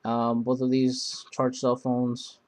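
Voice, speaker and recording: A man hosting a casual, unscripted product review, speaking in a halting, rambling way that often circles back on itself. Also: mid-range voice, completely flat delivery, a little mellow. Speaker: video host reviewing gear.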